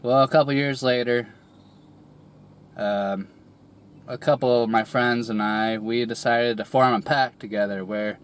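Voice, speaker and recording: Man, young adult, raspy from a cold, slow unhurried delivery, hesitant, rambling personal monologue. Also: mid-range voice, steady tone. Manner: hesitant, rambling, many fillers and restarts, clears throat often. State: recovering from a cold, voice a little raspy. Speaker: musician telling a personal story.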